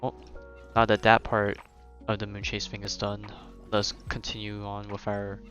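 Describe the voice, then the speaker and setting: A man's voice: fairly low pitch, monotone, normal speed. A young man chatting casually and thinking aloud as he commentates on a game he is streaming live. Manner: rambling, conversational, thinking aloud. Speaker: game livestreamer. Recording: slightly dull mic over game music. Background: game music.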